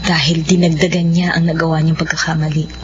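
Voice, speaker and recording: An actress playing a gentle, loving wife in a radio drama, measured and solemn. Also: female voice, low-pitched, little pitch movement, faint music behind, natural voice. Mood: grave. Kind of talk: Filipino radio drama acting. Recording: dull lo-fi radio, faint noise.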